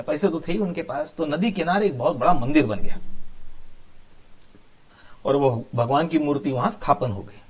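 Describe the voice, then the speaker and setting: A man telling a moral story informally, explaining as he goes with conversational asides and some English words mixed in. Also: on the high side. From India.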